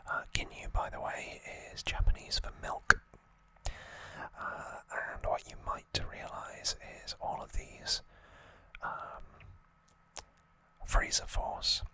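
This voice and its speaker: A man's voice: slow, bright, forceful tone, low-quality recording. A man whispering as an ASMR host, giving an informal, rambling trivia recap and correcting himself as he goes.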